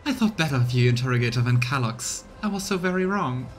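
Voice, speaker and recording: A man's voice: mid-range voice, expressive, fast talker, close to an everyday voice. A man voicing a harsh, imperious noblewoman in a game, scornful and haughty, with music behind. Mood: scornful anger. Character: harsh, angry, commanding noblewoman, contemptuous and imperious.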